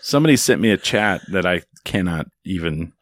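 A man's voice: deep voice, animated, a little fast, no echo, studio quality. A man hosting a podcast, joking around in casual chat and slipping into silly voices. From Texas. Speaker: podcast host. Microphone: studio microphone.

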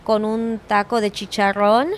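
A woman, an event organizer guesting on a podcast, confident, energetic and outspoken. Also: medium pitch, a little slow, a little hiss or hum. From Houston, Texas, partly raised in Mexico. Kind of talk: casual interview talk.

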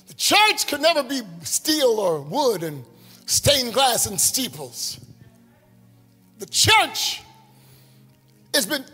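A man preaching a sermon in a rhythmic call-and-response style, building to shouts with a congregation. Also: extremely high pitch, husky. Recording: long hall reverb, faint music.

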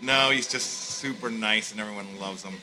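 A man's voice: lowish voice, fairly quick, noisy background. A man hosting a comedic talk show, playfully steering the interview. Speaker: host. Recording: music in background.

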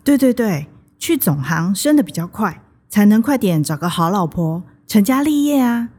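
A woman's voice: mid-range voice, big pitch swings, moderate pace, darkish tone, slightly rough. An actress with a Taiwanese Mandarin accent playing a doting, traditional older mother in a radio drama, coaxing and chatty.

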